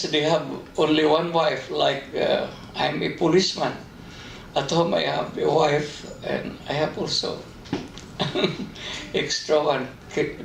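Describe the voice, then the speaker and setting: A man with a Filipino accent answers conversationally in an interview, in simple and hesitant phrases. His voice is very gravelly and a little high for a man. He is picked up from a distance in a roomy space. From Philippines. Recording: roomy, distant mic, faint noise.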